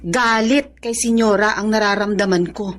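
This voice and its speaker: An actress playing a loyal, plucky housemaid in a radio drama, earnest and anxious, with a Filipino accent. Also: female voice, mid-range voice, steady tone, moderate pace, close to an everyday voice. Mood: earnest, resolute. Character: loyal housemaid, plucky, sympathetic to her young mistress.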